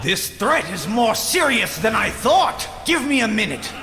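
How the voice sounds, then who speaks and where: A man's voice: sits high, sing-song, unhurried, pushed and bright, echoey room, in a large hall, soft background music, exaggerated voice. A man playing a bumbling, bombastic heroic commander in a video game, declaiming pompously. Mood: flustered, determined. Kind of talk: video game character acting.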